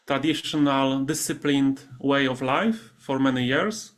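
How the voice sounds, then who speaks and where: A man's voice: medium pitch, fairly even intonation, a little slow. A man with a Polish accent, speaking conversationally as a guest in a remote interview. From Poland. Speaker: interview guest, meditation teacher. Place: remote video call.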